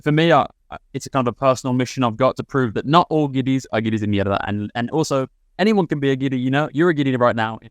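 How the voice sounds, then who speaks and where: A man's voice: mid-range voice, no echo, studio quality. A young man from Birmingham, England, a guest on a podcast, chatting casually with frequent restarts. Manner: quick, many restarts and fillers. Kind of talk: casual chat.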